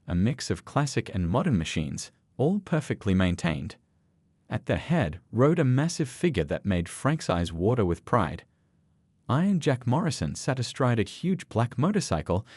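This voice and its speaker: A man's voice: on the low side, darkish tone, dry recording. A man narrating a scripted dramatic story.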